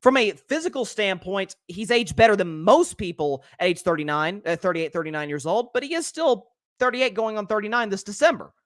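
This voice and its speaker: A young man hosting a solo sports talk show, talking quickly and animatedly, often repeating words as he builds a point and reading out viewer comments. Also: sits high, no echo, clean studio sound.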